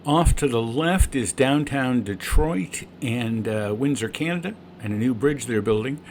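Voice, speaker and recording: A man's voice: mid-range voice, unhurried. A man who is a private pilot, explaining his flight and making radio calls. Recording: cockpit and radio noise.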